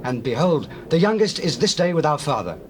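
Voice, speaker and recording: An actor playing a humble, earnest traveller pleading emphatically and declaiming in a scripture drama. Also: male voice, a little high, big pitch swings, fairly quick. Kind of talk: classical dramatized scripture reading. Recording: faintly noisy old cassette with ambience.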